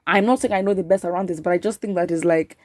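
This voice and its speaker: A woman's voice: fairly low pitch, fast talker, darkish tone, dry recording, studio quality. A woman giving opinionated news commentary as host, exasperated, with emphatic repetition and rhetorical questions. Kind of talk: opinionated commentary, reading viewer comments aloud.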